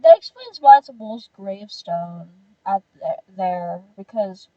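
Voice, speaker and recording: A woman casually reacting to a story game as she plays, excitable and exclamatory, with casual swearing. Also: mid-range voice, unhurried, no room sound, dull audio. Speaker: game streamer.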